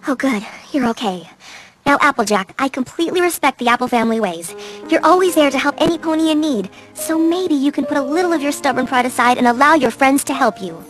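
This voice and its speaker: A woman's voice: sits high, fast talker, soft background music, close to an everyday voice. Articulate, studious young cartoon unicorn voiced by a woman. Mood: caring, firm. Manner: articulate, precise, wordy. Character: studious young female unicorn pony, earnest and sensible. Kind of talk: animated cartoon dialogue.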